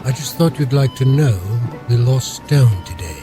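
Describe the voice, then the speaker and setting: A man's voice: mid-range voice, lively intonation, normal speed, music in the background, close to an everyday voice. A man playing a stern, brusque hospital security chief in an audio drama, curt and officious. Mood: curt, official.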